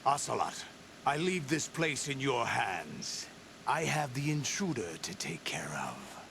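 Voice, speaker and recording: A stern, gravelly warlord. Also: male voice, medium pitch, sing-song, unhurried, a bit bright, a little hiss or hum, heavily acted. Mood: commanding. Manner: commanding, stern. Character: commanding male warlord, stern and authoritative. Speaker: leader of a mercenary group. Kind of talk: video game cutscene acting.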